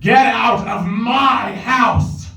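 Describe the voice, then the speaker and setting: A man playing an enraged, territorial drain monster, yelling confrontationally in a comedy table read. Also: very high-pitched, steady tone, very slow, vocal fry, soft background music, full character voice. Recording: echoey live room, distant mic.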